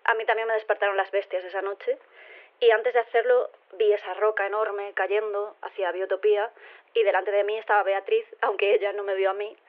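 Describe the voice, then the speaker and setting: An actress playing a polite body-swapped caller, chatty and rambling, through a telephone filter. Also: female voice, mid-range voice, level tone, fairly quick. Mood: chatty, earnest. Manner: chatty, rambling, self-correcting. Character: chatty, polite man living inside a woman's body. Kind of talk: naturalistic phone interview in audio drama. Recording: narrow, tinny phone line.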